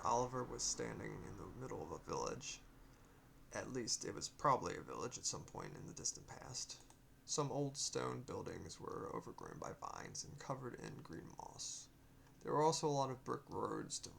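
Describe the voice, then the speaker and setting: A man reading his own story aloud as narration, halting and correcting himself, with muttered asides. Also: medium pitch, creaky, fairly bright tone, slight background noise. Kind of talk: read-aloud narration with off-script proofreading asides.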